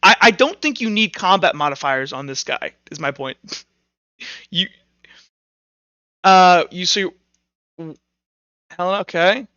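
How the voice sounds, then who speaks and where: A man running a tabletop roleplaying game as game master, narrating and explaining rules informally, thinking out loud as he goes. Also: high voice, animated, unhurried, pauses often, no room sound, lo-fi sound.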